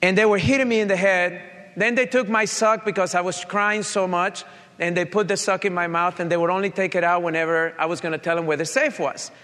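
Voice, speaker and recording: A man with a Colombian accent and a slight Italian touch speaks earnestly to an audience. He tells a personal story in a fairly high voice. The sound carries a long hall reverb. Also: fairly even intonation, a little fast, sharp tone. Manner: earnest, direct, addresses audience. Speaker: motivational speaker. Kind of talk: motivational talk with personal story.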